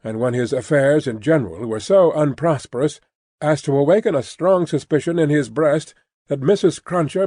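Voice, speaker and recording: A man reading an audiobook as scripted literary narration, in a measured, formal storytelling manner. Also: fairly high pitch, warm tone, dry recording, clean studio sound.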